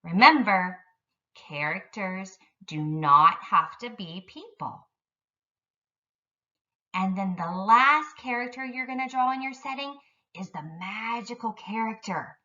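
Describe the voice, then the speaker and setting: A woman teaches young children in careful, simple phrases at an unhurried pace. Her delivery swings up and down in pitch. The recording is a little dull, with the mic at some distance. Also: animated, pushed and bright. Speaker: elementary school teacher. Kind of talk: instructional video lesson for young children.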